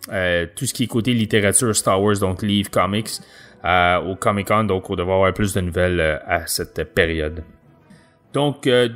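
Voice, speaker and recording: A man with a Quebec French accent, hosting a book review podcast with hesitant pauses. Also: on the low side, fairly bright tone. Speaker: podcast host. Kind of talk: podcast book review, partly reading a synopsis aloud.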